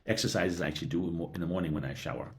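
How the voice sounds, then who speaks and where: A middle-aged man speaking earnestly as a podcast guest, halting with frequent restarts and a habitual "yeah". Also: deep voice. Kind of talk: reflective conversational interview.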